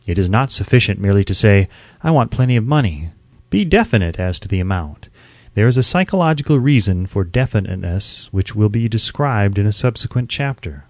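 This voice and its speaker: Male audiobook reader, mid-range pitch with lively intonation, emphatic instructional delivery, close dry sound. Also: no room sound. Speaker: audiobook narrator. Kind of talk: scripted audiobook narration.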